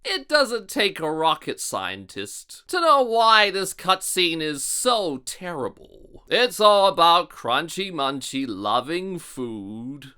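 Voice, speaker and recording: This is a sarcastic reviewer delivering scripted commentary. The voice is bright and forward, with very lively pitch movement. The pace is on the slow side, mocking throughout. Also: smooth. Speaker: video reviewer and narrator.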